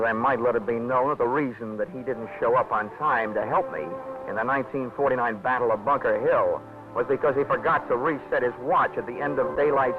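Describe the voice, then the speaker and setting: A hard-boiled investigator tells his case with dry wisecracks in an even, mid-pitched voice, as an actor plays him. The sound is thin and tinny, and music plays beneath. It has the feel of an old radio crime drama. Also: lightly acted. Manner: dry, wisecracking first-person narration. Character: wry insurance investigator man, hard-boiled narrator. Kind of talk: 1940s radio acting.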